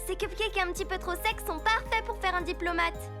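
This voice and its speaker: A woman voicing an earnest, encouraging young girl superhero who leads her team in an animated cartoon. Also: very high voice, fairly quick, over music.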